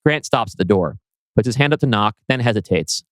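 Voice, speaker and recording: A man's voice: fairly low pitch, animated, fairly quick, a bit bright, dry recording. A man reading scripted screenplay narration as writer and narrator.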